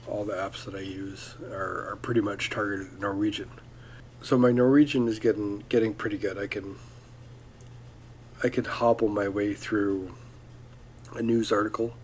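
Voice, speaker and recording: A man's voice: fairly low pitch, slight background noise. A man hosting a casual solo podcast, talking in a loose, rambling way full of ums and restarts. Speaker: podcast host.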